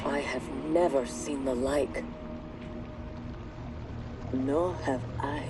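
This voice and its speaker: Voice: medium pitch, far from the mic, heavy background noise. A formal stern clan warrior. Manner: formal, measured. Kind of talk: video game cutscene acting.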